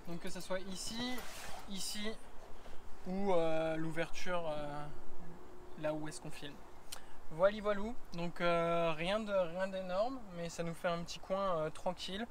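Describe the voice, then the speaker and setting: Chatty man with a high voice. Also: measured pace, slight background noise. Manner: chatty, many fillers. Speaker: travel vlogger. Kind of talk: casual vlog walkthrough. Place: roof tent on a car, then outdoors in bush.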